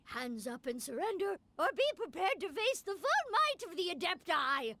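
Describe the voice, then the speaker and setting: High-pitched, pompous mimicking game sprite. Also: female voice, big pitch swings, moderate pace, bright, forceful tone, exaggerated voice. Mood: mock grandeur. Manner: pompous, declamatory, theatrical. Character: small sprite grandly mimicking a pompous inventor immortal woman. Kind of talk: video game character voice acting.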